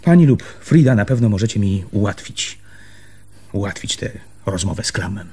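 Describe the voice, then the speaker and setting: A stubborn land surveyor in a radio drama. His voice is fairly low and husky, and he speaks earnestly, now and then hesitating and repeating himself. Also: lively intonation, moderate pace, darkish tone. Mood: stubborn, determined. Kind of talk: Polish radio play acting.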